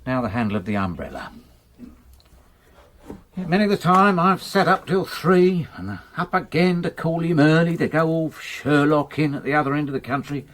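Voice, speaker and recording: An actor playing a wry, meticulous English manservant in a radio drama, speaking formally and precisely with dry, long-suffering patience. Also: male voice, on the high side, expressive, normal speed, a bit bright. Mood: measured, confiding. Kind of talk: British radio drama acting.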